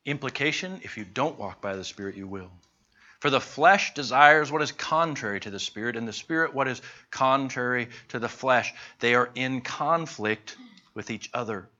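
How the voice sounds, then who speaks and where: A man teaching a Bible class in an earnest, conversational way, slowing down to stress his points. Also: mid-range voice, expressive, pushed and bright. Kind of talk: sermon-style Bible teaching. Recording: dull lo-fi recording.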